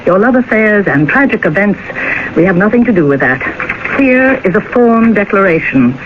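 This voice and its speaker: An actress playing an officious, impatient police captain in a radio drama, curt and authoritative. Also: female voice, mid-range voice, expressive, normal speed, close to an everyday voice. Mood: brisk, officious. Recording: thin phone-like old radio, noisy.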